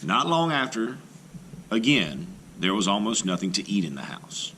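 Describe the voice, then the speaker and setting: A man, a teacher, reading a folktale aloud to children in an expressive, animated storytelling manner. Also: fairly low pitch, normal speed, slight background noise.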